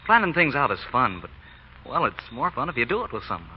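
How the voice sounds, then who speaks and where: An actor playing an eager, brash young small-town suitor with an American accent, in old-time radio style. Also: male voice, medium pitch, very animated, quick pace, lightly acted. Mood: tender, bashful. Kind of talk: 1940s radio acting. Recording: dull, noisy vintage radio recording.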